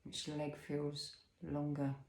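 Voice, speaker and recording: A man leading a guided body scan as an instructor, speaking in a soothing, unhurried way with pauses and gentle questions. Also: on the high side, completely flat delivery, reverberant.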